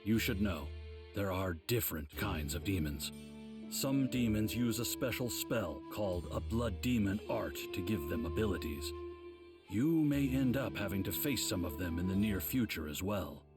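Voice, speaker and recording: An actor playing a grave old mentor of demon slayers, explaining things in a measured, solemn way for a video game. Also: male voice, on the low side, lively intonation, music in the background, close to an everyday voice. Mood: calm, instructive. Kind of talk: English game dub, measured explanatory dialogue.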